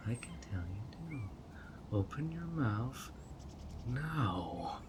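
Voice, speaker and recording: A man's voice: mid-range voice, big pitch swings, slow pace, noisy background. A man voicing a handsome, obsessive male fairy who coaxes and dotes in a possessive way, in an audio roleplay. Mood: controlling. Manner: coaxing and doting, turning controlling.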